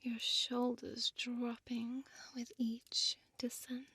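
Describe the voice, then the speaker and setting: A woman playing a seductive, possessive vampire innkeeper in an ASMR audio roleplay, whispering softly with hypnotic, commanding slowness. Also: a little high, completely flat delivery, no room sound, heavily acted. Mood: soothing, hypnotic. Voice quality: breathy, soft whisper. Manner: slow, hypnotic, coaxing, deliberate pauses.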